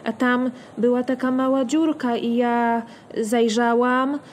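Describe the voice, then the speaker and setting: A woman's voice: a little high, level tone, slow pace, a little hiss or hum. An actress playing a timid, earnest little girl in a children's radio play, with a childlike, hesitant manner.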